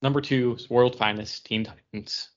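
A man's voice: medium pitch, steady tone, normal speed, dull audio. A man co-hosting a podcast, chatting casually about comic books in a joking, self-deprecating way. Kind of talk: casual comic book review chat.

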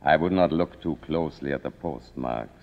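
An actor playing a devoted, discreet manservant, formal and measured, in a 1950s radio drama. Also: male voice, rumbling low. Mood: grave, guarded. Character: solemn, devoted, discreet manservant of a faded star. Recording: muffled old radio recording, reverberant stage.

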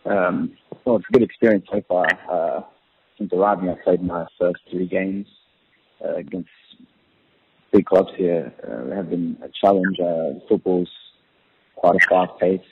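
A young man, a professional footballer, answering interview questions in a relaxed, hesitant way with many ums. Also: deep voice, a little slow, long pauses, husky. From Australia. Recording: tinny phone-line audio.

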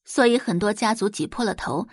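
A woman narrating a scripted audio drama in an expressive storytelling manner. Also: mid-range voice, a little fast, slightly rough, no room sound, clean studio sound.

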